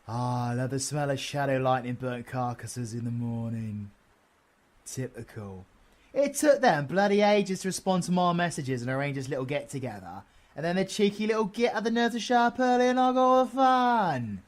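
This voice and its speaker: A man's voice: a little high, expressive, normal speed, natural voice. A British man playing a cocky, sardonic, foul-mouthed but affectionate supervillain in an audio roleplay, with slangy, teasing delivery. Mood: gleeful, irritated. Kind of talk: audio roleplay drama acting.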